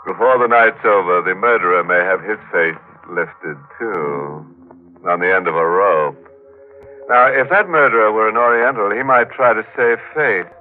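A low-voiced American man acting as a ghoulish radio mystery host. He teases the listener with mock-cozy, macabre wit, over music on a narrow, vintage broadcast recording. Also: normal speed, natural voice. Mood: gleefully morbid. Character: ghoulish, tongue-in-cheek horror host with morbid puns. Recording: scratchy 1940s radio, narrow band, music behind.

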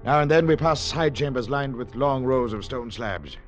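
An actor playing a grave, level-headed explorer in a 1940s radio drama, speaking with deliberate suspense. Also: male voice, mid-range voice, dull audio, natural voice. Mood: awed, suspenseful. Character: grave, level-headed male explorer telling his adventure. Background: dramatic music.

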